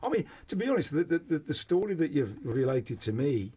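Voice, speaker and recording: A man's voice: fairly high pitch, sing-song, a little fast, warm tone, husky. A man hosting a conversational radio discussion, speaking in an emphatic, exclamatory way. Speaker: radio host.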